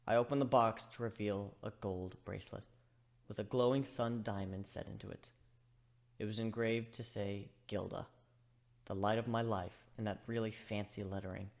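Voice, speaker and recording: A man reading first-person fan fiction as narrator in a subdued, hushed delivery. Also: fairly low pitch. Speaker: story narrator.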